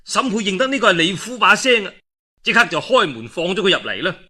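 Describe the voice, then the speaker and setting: Animated bright-voiced Cantonese male storyteller. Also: high voice, quick pace. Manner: dramatic, animated delivery with conversational particles and asides. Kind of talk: traditional Chinese storytelling of a historical novel, colloquial narration.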